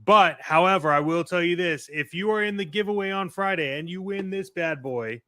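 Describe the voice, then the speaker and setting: Male podcast host, higher-pitched, casual but emphatic promo chat, dry close studio sound. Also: normal speed, a bit bright, no echo. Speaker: podcast and radio show host. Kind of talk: casual show sign-off chat to listeners.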